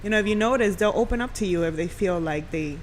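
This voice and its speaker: A woman with a medium-pitched voice talks in a casual, conversational podcast style. Her sentences are loose and full of "like" and "you know". Some background ambience sits under her voice. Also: quick pace, a little hiss or hum, low-quality recording. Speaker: radio personality, podcast guest.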